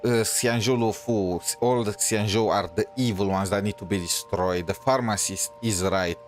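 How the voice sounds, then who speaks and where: A man's voice: on the low side, steady tone, moderate pace, soft background music. A man giving casual, rambling game commentary as a streamer, slangy and opinionated. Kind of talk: casual reaction commentary over gameplay.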